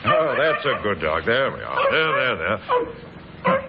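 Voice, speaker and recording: An actor playing a dogged, dry police inspector in an old radio drama, clipped and insistent. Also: male voice, mid-range voice, wildly swooping pitch, a little slow. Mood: dogged, thoughtful. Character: dogged, dry British police inspector, middle-aged man. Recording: noisy old radio recording.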